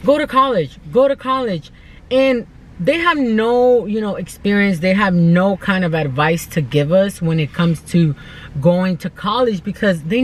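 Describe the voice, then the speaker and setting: A woman's voice: on the low side, rough recording. Woman in her twenties, casual vlogger, unscripted, informal, earnest, emphatic, slangy. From United States, born in El Salvador. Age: 20s. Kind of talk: casual unscripted vlog talk to camera.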